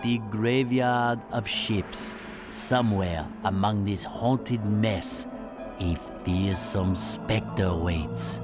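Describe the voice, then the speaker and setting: Very slow, ominous narrator. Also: male voice, on the low side, music in the background. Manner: measured, dramatic. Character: droll, ominous nature-documentary style narrator. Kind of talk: scripted cartoon game narration.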